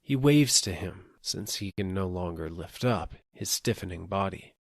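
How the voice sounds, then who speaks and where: Low-voiced man reading a literary tale. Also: expressive, normal speed, vocal fry, no echo, clean studio sound. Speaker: audiobook narrator. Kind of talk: measured literary storytelling.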